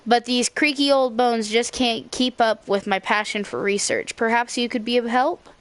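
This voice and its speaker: A woman reading a creaky-boned old researcher. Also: on the high side, steady tone, moderate pace, a bit bright, no room sound, lightly acted. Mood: eager. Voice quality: slightly scratchy. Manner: reading on-screen dialogue aloud.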